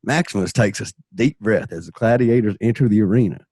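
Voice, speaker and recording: A man's voice: on the low side, fairly quick, no echo. A man reading stage directions as narrator of an amateur radio play, in a theatrical, playful and dramatic way. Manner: dramatic, playful, acts out shouts and crowd.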